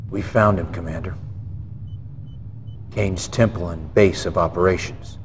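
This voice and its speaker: A man's voice: sits low, steady tone, unhurried, a little hiss or hum. A man playing a grave, resolute general giving an authoritative, earnest mission briefing in a video game cutscene.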